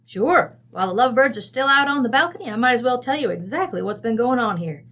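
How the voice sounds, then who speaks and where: A woman's voice: fairly high pitch, lively intonation, fast talker, a bit bright. A woman playing a bossy, blunt, folksy mother from Wyoming in an old-time radio drama. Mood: matter-of-fact. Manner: brassy, folksy, chatty.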